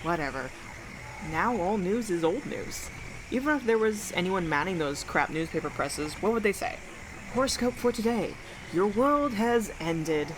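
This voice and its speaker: A woman's voice: mid-range voice, lively intonation, normal speed, close to an everyday voice. A young woman playing a sardonic, blunt homeless survivor of the apocalypse in an audio drama. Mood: mocking. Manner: sarcastic, blunt, slangy. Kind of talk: radio drama monologue. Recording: clearly noisy, ambient background noise.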